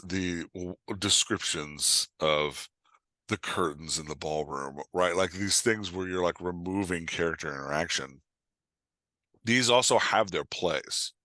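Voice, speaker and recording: Male author-coach, middle-aged. Bright, forceful, lowish voice, dry close sound. Emphatic conversational explainer. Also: no echo. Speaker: author and writing coach, podcast guest. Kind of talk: animated conversational explanation and storytelling.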